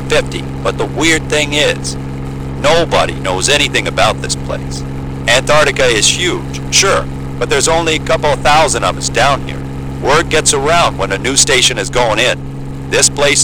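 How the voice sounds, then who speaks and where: A man's voice: medium pitch, close to an everyday voice. A man acting as a blunt, skeptical pilot in an audio drama, talking in a casual, sweary, folksy way. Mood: gossipy, wry. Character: blunt, skeptical, chatty male Antarctic bush pilot. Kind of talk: naturalistic audio drama dialogue. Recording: loud engine hum and vehicle noise.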